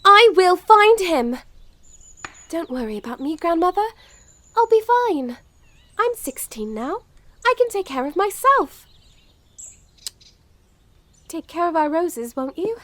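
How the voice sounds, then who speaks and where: A woman's voice: very high voice, very animated, slow, long pauses, fairly bright tone, full character voice. A woman playing a determined, polite sixteen-year-old girl in a fairytale audio play, earnest in manner. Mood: defiant, determined. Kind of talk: amateur audio play acting. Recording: faint background noise.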